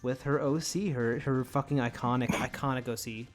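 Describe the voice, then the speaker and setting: A man's voice: mid-range voice, fairly even intonation, moderate pace. A man bantering casually as a comedy co-host, informal and sweary. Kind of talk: casual comedic group chat. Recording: clear music behind the voice. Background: background music.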